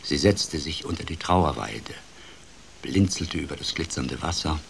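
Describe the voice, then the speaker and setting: A man's voice: rumbling low, unhurried, gravelly, a little hiss or hum, full character voice. An actor playing a playful, wry older grandfather telling a fairy tale expressively in a radio play. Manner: expressive, dramatic, shifts between hushed and lively. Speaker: storyteller.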